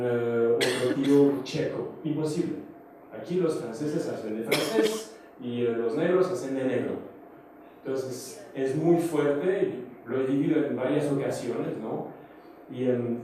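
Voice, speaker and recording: A man's voice: medium pitch, mellow. A man, a theatre maker who moved to Mexico from abroad, giving a speech at a conference opening. He speaks hesitantly, with many fillers and restarts, and often asks a rhetorical "right?". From foreign, living in Mexico. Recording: echoey hall, voice from far off, faint noise.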